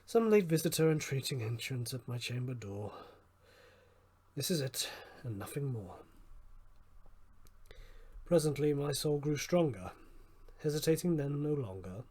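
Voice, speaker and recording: Husky, theatrical man acting grieving and haunted. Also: mid-range voice, lively intonation, unhurried, long pauses. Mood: uneasy. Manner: theatrical, swinging from hushed murmurs to loud cries. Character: grieving, haunted man, fearful and agitated, narrating gothic poem. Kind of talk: dramatic poetry recitation.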